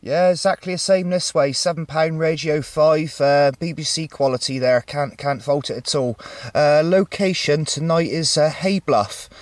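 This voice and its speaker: A man chatting casually as a CB radio operator, with a halting delivery, recorded outdoors. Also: fairly high pitch, slight background noise. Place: outdoors on a mountain top.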